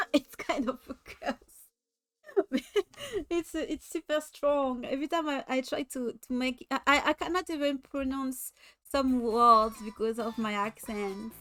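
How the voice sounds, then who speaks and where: A woman's voice: high-pitched, unhurried, no room sound. A woman with a French accent, a native French speaker, chatting casually as a streamer, giggling often and stumbling over repeated words. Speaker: VTuber streamer.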